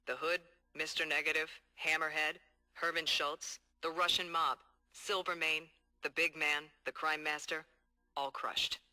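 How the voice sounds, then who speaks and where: An actor playing a determined, hard-boiled police detective dictating earnest, streetwise case logs in a video game. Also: male voice, fairly high pitch, level tone, a little slow, pushed and bright. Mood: wary, troubled. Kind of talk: video game audio log monologue.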